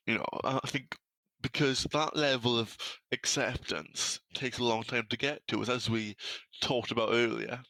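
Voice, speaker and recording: A young man with a Welsh accent talks openly and earnestly, catching on the first sounds of some words as he stammers. His voice is bright and clear, at a middle pitch. The recording is dry and close. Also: no echo, low-quality recording. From South Wales. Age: young adult. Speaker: guest. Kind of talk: podcast interview.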